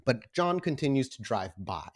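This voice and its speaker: A man working as game master, improvising descriptive narration for a tabletop role-playing game in a halting, deliberate way. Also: mid-range voice, very animated.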